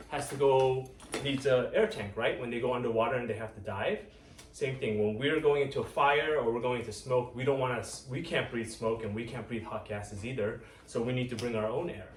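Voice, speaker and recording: A firefighter from Los Angeles, casually explaining his job and gear to a class of children. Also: medium pitch. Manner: easygoing, explains plainly with fillers. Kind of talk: casual career-day talk to children. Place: fire station. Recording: echoey station room, far from the mic, noisy.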